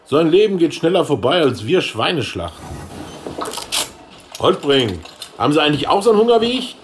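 An actor playing a blunt older factory boss in a satirical radio play, colloquial and dryly wry. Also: male voice, fairly high pitch, big pitch swings, a little fast, a little hiss or hum. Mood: restless.

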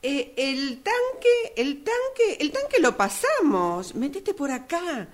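Cocksure Argentine female navigator played by a woman, insistent, unhurried, very animated, radio drama. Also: high voice, full character voice. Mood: breezy, insistent. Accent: Argentine, Rioplatense. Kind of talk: radio drama workshop acting.

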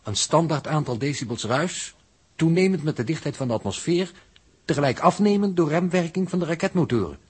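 An actor with a Netherlands Dutch accent playing an earnest, precise astronaut in a 1960s radio drama, speaking in a serious, technical manner. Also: male voice, on the high side, lively intonation, fairly quick, slightly rough. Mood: thoughtful. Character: earnest, precise male astronaut doing the calculations. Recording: noisy vintage 1960s radio recording.

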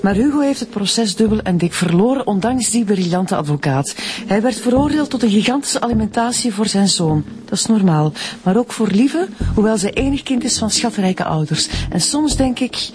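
A woman's voice: mid-range voice, lively intonation, normal speed, darkish tone, natural voice. An actress with a Flemish accent playing a suspicious, bitter woman giving gossipy testimony in a radio drama. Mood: bitter, confiding. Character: suspicious, bitter female witness sharing gossip.

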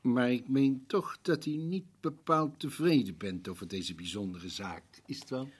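A man's voice: medium pitch, measured pace, breathy edge. A man playing a polite questioning visitor. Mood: probing. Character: male visitor narrating his memory, earnest. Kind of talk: radio play acting.

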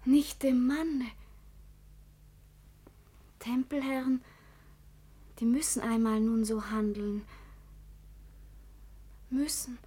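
An actress playing an ardent, devout young maiden in classical radio drama, speaking fervently and deliberately. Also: female voice, a little high, fairly even intonation, mellow, breathy edge, heavily acted. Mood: earnest.

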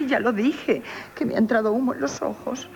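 A woman acts a young coquette who keeps an inn, in radio theatre. Her voice is fairly high and plaintive, on a dull, lo-fi recording. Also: brisk, darkish tone, a little hiss or hum, close to an everyday voice. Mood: plaintive, tearful. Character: coquettish young innkeeper, feigning hurt. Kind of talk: Spanish radio theatre acting.

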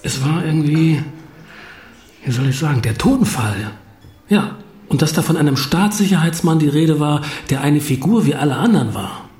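An actor playing a reserved, dry middle-aged writer from East Germany in a naturalistic radio drama. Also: male voice, fairly high pitch, animated, moderate pace, a little mellow, echoey room, natural voice. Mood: reflective.

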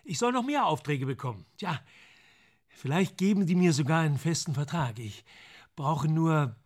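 The eager friend in a radio drama, practical but anxious to keep the peace. His voice sits a little high and moves up and down with real animation. He talks naturally, coaxing and placating. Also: animated, moderate pace. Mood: excited. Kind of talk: naturalistic German radio play dialogue.

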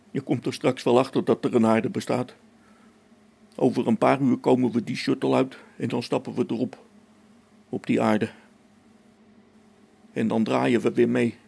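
A man plays an irritable engineer on a space station in a radio drama. His mid-pitched voice is rough with heavy creak. He talks emphatically, with impatience and sarcasm. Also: little pitch movement, unhurried, long pauses, a little mellow, exaggerated voice. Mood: irritated. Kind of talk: radio play acting.

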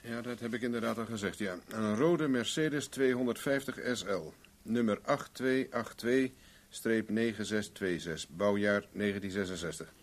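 Dry-witted private investigator. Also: male voice, on the low side, moderate pace. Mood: probing, sardonic. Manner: formal, sardonic, questioning. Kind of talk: Dutch 1970s radio play dialogue.

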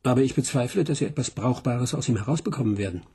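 An actor playing a teasing, playful doctor in a radio crime drama. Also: male voice, medium pitch, fast, dark tone, husky. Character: teasing, flirtatious male doctor.

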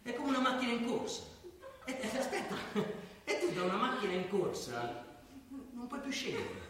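A tired, sardonic father with a fairly high, lively voice, always grumbling about work, as an actor plays him on a theatre stage. The sound is distant and boomy, with a long hall reverb. A faint hiss lies beneath it. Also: moderate pace. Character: overworked, weary father, a busy professional. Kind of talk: stage play recorded live. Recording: echoey stage miked from afar, faint noise.

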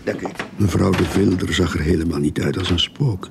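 A man's voice: low-pitched, a little fast. An actor playing a weary, wry young novice detective, narrating his case in a radio play. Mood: musing. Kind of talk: radio drama narration and dialogue. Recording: radio play with clear background noise.

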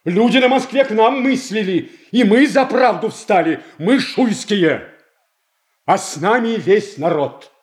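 An actor playing a proud, indignant prince in a classical radio tragedy, declaiming with blunt, weighty deliberation. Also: male voice, high-pitched, lively intonation, a little slow. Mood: indignant, accusing. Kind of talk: classical Russian stage tragedy acting for radio.

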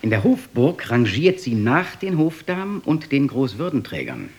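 An actor in a radio drama playing a fussy, gossipy protocol official with a precise, knowing manner. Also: male voice, medium pitch, very animated, normal speed, natural voice. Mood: officious.

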